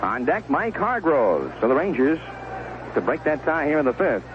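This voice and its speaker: A male baseball play-by-play announcer with a fairly high, very lively voice. He calls the action in clipped phrases and fills in the scene conversationally. The sound is a muffled 1970s radio broadcast. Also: moderate pace, background noise. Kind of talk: live baseball play-by-play commentary. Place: stadium broadcast booth. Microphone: broadcast microphone with headset earphone monitoring.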